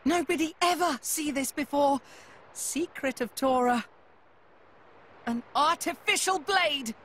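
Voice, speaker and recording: A woman's voice: high-pitched, takes it slowly, slight background noise. A woman voicing a small, round, furry male creature inventor in a game, eager and cheeky, with childlike broken speech. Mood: eager, secretive. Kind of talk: video game cutscene acting. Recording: loud music behind the voice.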